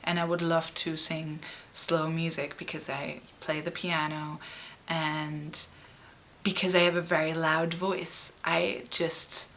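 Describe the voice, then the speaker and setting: Bright-voiced woman, halting and emotional. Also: low voice, level tone, slight background noise. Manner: halting and emotional, frequent fillers and restarts. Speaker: artist and musician. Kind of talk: personal interview.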